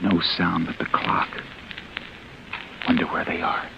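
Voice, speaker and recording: An actor playing a desperate man who killed by accident, brooding to himself in a hushed inner monologue, in 1940s radio acting. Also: deep voice, little pitch movement, a little slow, gravelly, background noise. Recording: muffled old radio recording with music.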